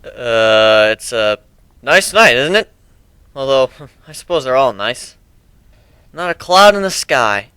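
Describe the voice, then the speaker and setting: A man's voice: medium pitch, sing-song, slow pace, pauses often, sharp tone, clean tone, no room sound, full character voice. A man voicing a wistful, kind male griffin in a dramatic reading, talking in a hesitant, reflective, conversational way. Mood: awkward, friendly. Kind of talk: dramatic reading of a fan story.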